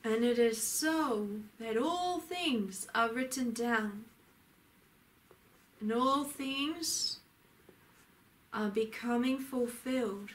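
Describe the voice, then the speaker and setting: A woman delivering a channeled sermon, speaking as a divine lord in a solemn, declamatory way with frequent pauses. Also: fairly high pitch, rough recording. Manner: solemn, declamatory, pausing often. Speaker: spiritual channeler.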